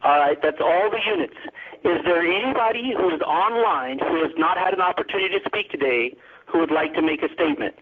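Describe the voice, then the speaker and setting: A man's voice: on the high side, animated, brisk, husky. A man moderating a telephone conference call in an orderly, businesslike way. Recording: muffled narrow telephone line.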